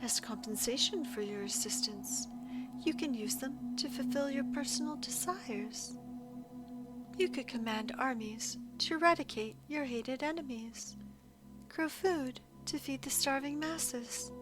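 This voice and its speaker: A woman voicing an imperious, calm alien insect queen in an audio roleplay, speaking in a formal, measured, commanding and coolly menacing way. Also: sits high, loud music behind the voice. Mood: regal, persuasive. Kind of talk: scripted audio roleplay monologue addressed to the listener.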